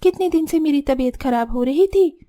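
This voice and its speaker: A woman voicing a sharp-tongued young sister-in-law in a cartoon story, quick to argue. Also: high voice, expressive. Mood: resentful. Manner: quick, argumentative, sulky. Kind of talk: cartoon voice acting.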